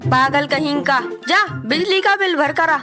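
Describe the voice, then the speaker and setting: A woman's voice: sits high, measured pace. A woman playing a bad-tempered old mother-in-law who scolds and hurls insults at everyone. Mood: shrieking abuse. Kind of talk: comedy sketch acting. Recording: clear music behind the voice.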